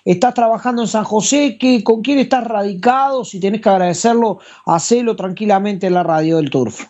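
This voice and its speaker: A woman's voice: mid-range voice, a little fast, dark tone. A woman with a Uruguayan accent hosting a radio show and interviewing a guest, on dull broadcast audio.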